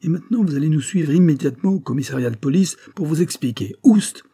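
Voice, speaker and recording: A man's voice: on the high side, lively intonation, quick pace, vocal fry, warm tone, slightly rough, dry recording, voice pushed up, heavily acted. A man voicing a curt, self-important police secretary in an audiobook story, dry and commanding. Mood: brusque, accusing.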